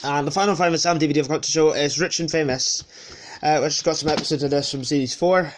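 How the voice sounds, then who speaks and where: Higher-voiced man with a cold. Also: steady tone, fast talker, a little hiss or hum. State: has a cold, sniffling. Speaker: collector presenting a DVD collection. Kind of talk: casual unscripted show-and-tell.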